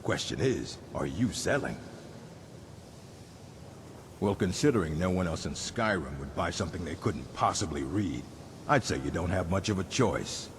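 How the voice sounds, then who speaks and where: Game role: blunt, bookish old orc man, very low register, dry delivery, noticeable rumble underneath. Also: animated, normal speed, pauses often. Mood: gruff, interested. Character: gruff old male orc librarian, shrewd and bookish. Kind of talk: video game dialogue. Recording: clear low rumbling background noise.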